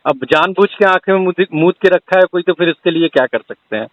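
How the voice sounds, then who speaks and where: A man's voice: sits high, fast talker, no echo. A man talks on a recorded call that sounds a little muffled and dry. He is polite and sympathetic, offering reassurance in a conversational way. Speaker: video creator taking a teacher's call.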